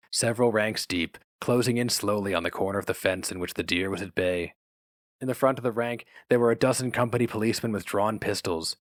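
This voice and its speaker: A man's voice: on the low side, no echo. A man giving a dramatic narrated reading of a short story in a scripted storytelling style. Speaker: story reader. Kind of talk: dramatic audiobook-style narration, acting out characters.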